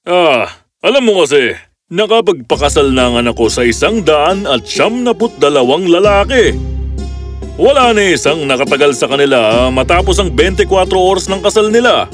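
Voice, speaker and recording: Man playing a blithe, higher-voiced fairy-tale king. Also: very animated, normal speed. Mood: matter-of-fact. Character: blithe, matter-of-fact king, father of a princess. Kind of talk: children's fairy-tale dialogue. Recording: faint music behind the voice.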